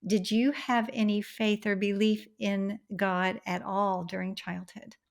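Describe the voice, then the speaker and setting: A woman's voice: mid-range voice, flat delivery, a little slow, gravelly. A woman hosts the interview in a mid-range voice. She is effusive, piling praise on her guest and stringing several questions together at once. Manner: warm, effusive, asks long multi-part questions. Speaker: podcast host. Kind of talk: interview hosting.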